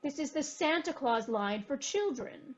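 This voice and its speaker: A woman playing an officious, exasperated department-store employee in a brisk radio comedy style, on a slightly dull recording. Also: high-pitched, lively intonation. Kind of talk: old-time radio comedy acting.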